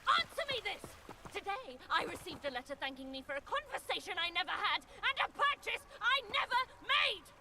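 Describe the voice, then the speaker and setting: A woman voicing an indignant figure who shouts threats and accusations. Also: very animated, brisk, heavy background noise, exaggerated voice. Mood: furious. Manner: shrill, furious, threatening.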